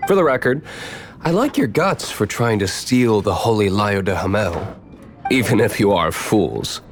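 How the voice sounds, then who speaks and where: A man's voice: on the low side, expressive, measured pace. A man voicing a cool, guarded young tavern owner in an anime video game, dry, terse and composed. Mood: disdainful. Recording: faint music behind.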